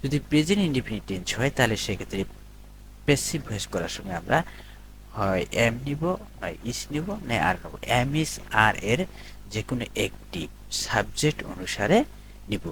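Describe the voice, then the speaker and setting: A man's voice: mid-range voice, expressive, normal speed, a bit bright. Male tutor, noisy recording. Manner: instructional, repeats and lists points step by step. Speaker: English grammar tutor. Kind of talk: explanatory grammar lesson addressed to students. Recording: noticeable background noise.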